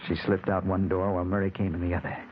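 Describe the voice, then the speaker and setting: Actor as a troubled noir investigator, noisy old radio. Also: male voice, sits low, flat delivery, fast talker, narrow, tinny audio, close to an everyday voice. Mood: brooding. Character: troubled, lovestruck hardboiled investigator narrating his own case. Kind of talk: old-time radio noir acting and narration. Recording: very noisy, band-limited old radio recording.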